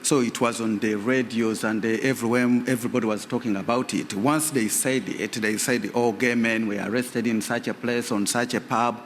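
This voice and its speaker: A man's voice: medium pitch, fairly even intonation, moderate pace, hardly any pauses, a little hiss or hum. A man from Uganda, a guest in a live radio interview, telling his personal story hesitantly, with many fillers. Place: radio theatre stage. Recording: reverberant live theatre.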